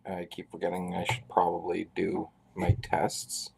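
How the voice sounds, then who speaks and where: A man's voice: deep voice, monotone, unhurried, creaky, darkish tone, breathy edge, low-quality recording. A man in his forties from Canada, chatting casually and rambling with self-deprecating asides while he draws on a live stream. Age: 40s. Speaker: artist live-streaming a drawing.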